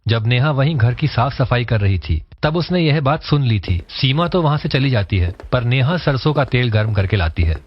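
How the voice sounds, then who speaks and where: A man's voice: mid-range voice, expressive, moderate pace, few pauses, slight background noise. A man narrating a scripted story. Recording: dull, lo-fi voice track.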